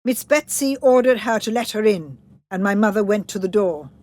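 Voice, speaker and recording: A woman's voice: medium pitch, expressive, moderate pace, darkish tone. A woman narrating a children's story in a scripted read-aloud style.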